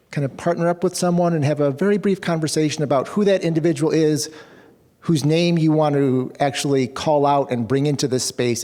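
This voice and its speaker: Man, slightly higher voice, measured and reflective, workshop leader, long hall reverb. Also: mellow. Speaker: program director. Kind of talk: guiding a workshop into a break. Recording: hall reverb from a room mic.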